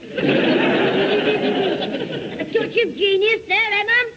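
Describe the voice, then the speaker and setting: A man's voice: very high-pitched, steady tone, takes it slowly, sharp tone, exaggerated voice. A man playing a cheeky, scheming schoolboy full of insolent wisecracks in a radio sitcom. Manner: cheeky back-chat. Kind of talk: 1970 radio sitcom acting. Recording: muffled noisy vintage radio recording, audience.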